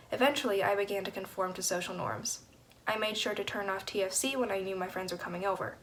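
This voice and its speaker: A teenage girl reads her personal essay aloud at a brisk, fluent pace. A faint background noise sits under her voice. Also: medium pitch. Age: teens. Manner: fluent, steady reading. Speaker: student reading an essay.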